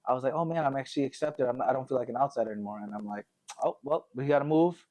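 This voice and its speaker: A man's voice: medium pitch, racing, warm tone. An American man chatting casually and telling stories in a slangy, informal way, often with a chuckle. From Connecticut, United States, later Indonesia. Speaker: podcast host telling his life story. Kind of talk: casual conversational storytelling.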